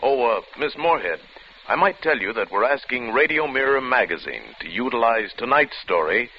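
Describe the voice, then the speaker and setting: A man's voice: medium pitch, animated, moderate pace. A man with an American accent introducing a guest in scripted 1940s radio style. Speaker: radio announcer. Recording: dull old radio broadcast recording.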